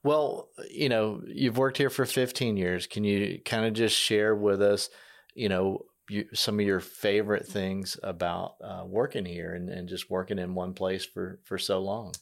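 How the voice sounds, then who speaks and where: Guy with a really low voice doing podcast interview, casual, kinda halting phrasing. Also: fairly even intonation, gravelly.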